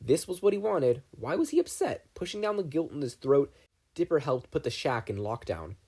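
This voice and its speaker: Husky, lively podfic reader. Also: medium pitch, normal speed. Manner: lively storytelling with voiced characters. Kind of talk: fan fiction audiobook narration.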